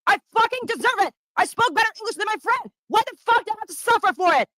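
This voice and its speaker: A woman rants in a very high, bright voice. She swears freely and circles back over the same complaints again and again, talking fast in a dry, close sound. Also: animated, no room sound. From Taiwan. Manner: heavy swearing, repetitive venting. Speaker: streamer in a played clip. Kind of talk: ranting casual chat.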